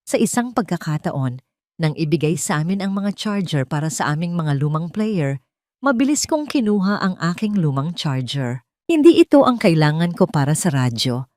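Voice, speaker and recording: A woman's voice: deep voice, darkish tone, no echo, studio quality. A woman reading a scripted, dramatic first-person story. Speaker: story narrator.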